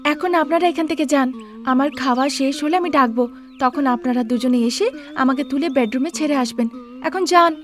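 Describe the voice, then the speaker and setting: Cartoon glutton wife, young, whiny and bossy, higher voice, played by a woman. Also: moderate pace, fairly bright tone. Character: greedy, overweight young wife, demanding and whiny.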